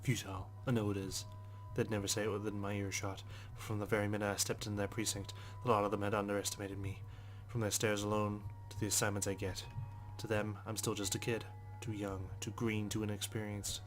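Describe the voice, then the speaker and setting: A man reads a visual novel aloud as a playthrough host. His voice is low and fairly flat, brooding and free with swearing, dropping into casual asides over faint music. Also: a little fast, few pauses. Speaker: let's play host.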